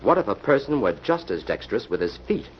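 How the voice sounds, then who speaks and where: An actor playing a composed, confident detective, explaining things wryly in 1940s radio style. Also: male voice, medium pitch, sing-song, fast talker, natural voice. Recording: muffled, hissy old-time radio recording.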